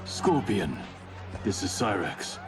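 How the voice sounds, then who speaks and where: A stoic ninja leader in a cinematic game. His voice is very low and serious, with terse, formal phrasing over loud music. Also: very animated, slow pace, full character voice. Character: grave, composed male ninja warrior leader. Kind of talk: serious video game acting. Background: loud dramatic music.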